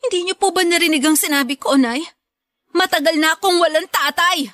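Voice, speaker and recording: A grown Filipino daughter full of resentment. Her voice is very high and her delivery is accusing and confrontational, in dry radio drama sound. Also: fairly quick, a bit bright, no room sound. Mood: bitter, hurt.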